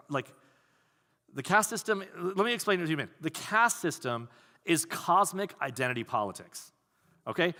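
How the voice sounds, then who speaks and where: A man preaching a sermon as pastor, talking in a conversational, animated way. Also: on the high side. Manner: conversational, animated, acts out quoted voices. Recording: long hall reverb.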